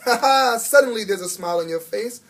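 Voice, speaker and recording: A man voicing a jolly, chatty male school janitor with a booming, folksy manner for a storybook. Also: animated, fairly quick, fairly bright tone.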